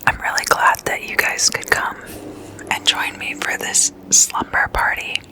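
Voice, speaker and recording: An ASMR host whispering in an intimate slumber-party chat, addressing listeners as guests and softly repeating words. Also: a little slow. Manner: repeats single words in soft trailing echoes.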